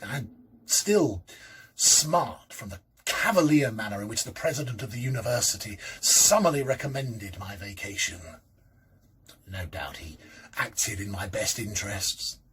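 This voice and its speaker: An actor in a dramatic reading, playing a lonely, timid, middle-aged academic who muses in a hesitant, fussy, precise way. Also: male voice, on the low side, fairly bright tone, husky, exaggerated voice. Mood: wistful, resigned. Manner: hesitant, musing, theatrical, precise wording. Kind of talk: performed dramatic reading of a classic ghost story, first-person monologue.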